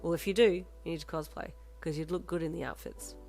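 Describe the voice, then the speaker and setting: A woman's voice: fairly low pitch, fairly even intonation, fairly quick, vocal fry, mellow, faint music behind. Woman as a casual teenage boy gamer. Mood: impressed, eager. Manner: slangy, offhand.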